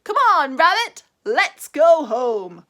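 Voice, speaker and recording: A loud, bouncy cartoon tiger who hoots and whoops through every line, cheerful and full of himself, as a Scottish woman puts him on. The voice sits high and swings wildly up and down. He is unhurried and exuberant. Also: very animated, heavily acted.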